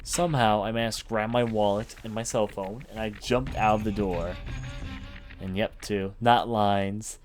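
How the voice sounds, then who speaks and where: A man's voice: lowish voice, steady tone, a little slow. A man reading a horror visual novel aloud as a let's player, in dramatic first-person story narration. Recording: music behind the voice. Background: game music.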